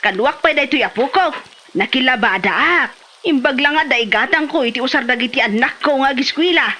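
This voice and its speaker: A woman acting a chatty, eager village mother in an Ilocano comedy drama, with a Filipino Ilocano accent and an expressive manner. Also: high voice, a little fast, a little hiss or hum. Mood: plaintive, grateful.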